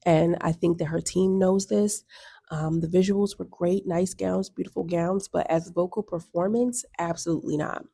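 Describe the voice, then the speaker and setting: A woman's voice: lowish voice, steady tone, moderate pace, creaky, dark tone, breathy edge. A woman in her thirties giving blunt, sassy music commentary for her video channel, with lots of ums and asides. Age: 30s. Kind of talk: casual opinion commentary.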